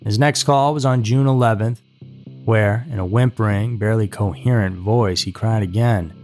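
Male podcast narrator, lower register, scripted true crime storytelling, light musical bed. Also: normal speed, darkish tone, soft background music.

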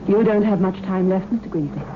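A woman's voice: mid-range voice, fast, lightly acted. Actress as determined young woman, tinny old radio. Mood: wary, stern. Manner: commanding, firm, clipped questioning. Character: determined, accusing young woman hunting her fiancé's killer. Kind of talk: old-time radio suspense acting. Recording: tinny, noisy 1960s radio recording.

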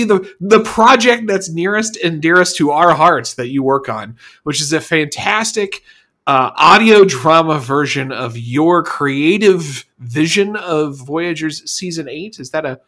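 A man co-hosting a casual podcast, talking haltingly with many restarts and fillers. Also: a little high, pushed and bright. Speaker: podcast co-host.

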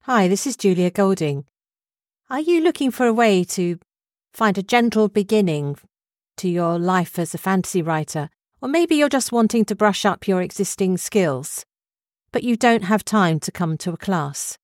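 A woman hosts a podcast in a conversational way. Her voice sits at a middle pitch. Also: animated, normal speed. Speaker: podcast host. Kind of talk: conversational podcast interview and promo.

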